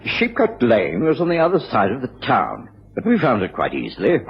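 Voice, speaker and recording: Earnest male companion-narrator, gentlemanly radio drama storytelling, expressive intonation, dull old sound. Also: medium pitch, fairly quick, vocal fry, slight background noise. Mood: narrating. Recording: dull radio recording, music underneath.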